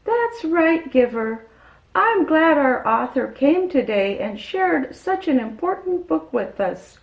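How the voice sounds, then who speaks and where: A woman acts as a cheerful, kind children's show character with a fairly high voice. The delivery is earnest, simple and unhurried, aimed at small kids. The recording is dull and echoey. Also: very animated, creaky, full character voice. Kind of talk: scripted kids' show dialogue.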